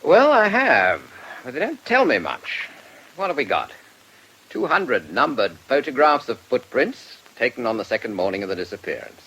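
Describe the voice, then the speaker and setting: Bluff, lively police superintendent. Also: male voice, mid-range voice, moderate pace, heavily acted, rough recording. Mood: matter-of-fact, puzzled. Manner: blunt, colloquial. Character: plain-spoken, bluff police superintendent, mildly puzzled. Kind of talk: radio drama acting. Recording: faint hiss.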